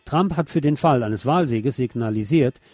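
A man's voice: medium pitch, normal speed, darkish tone, dry recording. A man reading scripted news commentary in an opinionated way. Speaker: news presenter.

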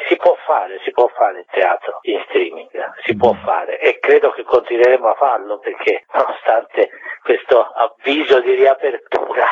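A man's voice: medium pitch, slightly rough. A man giving a spontaneous, reflective interview as a theatre director, speaking over the telephone. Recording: thin phone line with echo.

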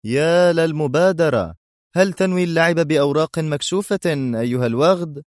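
A cocky male secret agent, as a man narrator plays him, in an audio spy story. He is sarcastic and taunting, with a raised pitch and a very dry sound. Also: brisk, no echo, close to an everyday voice.

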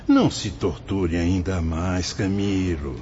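A man acting as a wise, fatherly spirit guide in a radio drama, patient and solemn, explaining in formal, measured phrases. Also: low-pitched, a little mellow, slight background noise. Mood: compassionate. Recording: dull lo-fi audio with music behind.

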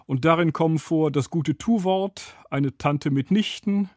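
A man's voice: fairly high pitch, unhurried, a little mellow, no echo. A man speaking as an expert guest in a studio interview, explaining analytically in learned, articulate wording. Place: dry radio studio.

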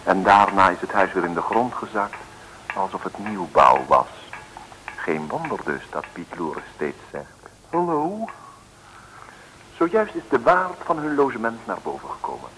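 Male narrator, vintage radio comedy serial: dry irony, dramatic, expressive swings, slightly noisy old recording. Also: low voice, a little slow. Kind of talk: wry scripted radio serial narration, 1960s.

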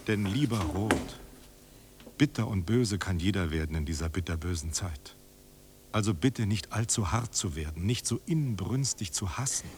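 A man's voice: deep voice, animated, normal speed, background noise. A man in a German radio drama playing a refined, idealistic friend who pleads solemnly and formally, as if reading a letter aloud. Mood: gentle, solemn. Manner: solemn, imploring, rhetorical, formal.

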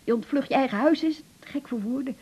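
An actress playing a depressed, pleading woman patient in a radio drama, halting and stammering in short broken phrases. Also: warm tone, husky, highs cut off. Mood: dejected. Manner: halting, stammering, repeats words, breaks into short fragments. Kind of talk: naturalistic 1980s Dutch radio drama acting. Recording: noisy older radio recording with rumble.

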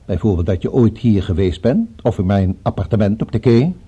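A cultured, dangerous gentleman villain of radio drama. His voice is very soft-edged and dark, slightly husky, with musical, lively intonation. He speaks formally and threatens with perfect politeness. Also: lowish voice, brisk, lo-fi sound. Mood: polite, musing threat. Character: courteous, menacing male villain with a revolver, cultured.

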